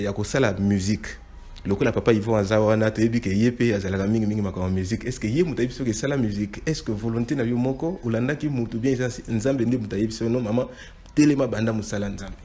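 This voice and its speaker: A man's voice: fairly low pitch, quick pace, warm tone. A man hosting an interview, often breaking in with short affirmations and speaking in a friendly, affirming way. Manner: affirming, frequent short acknowledgements. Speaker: interviewer, host. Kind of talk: interview, mixing Lingala and French.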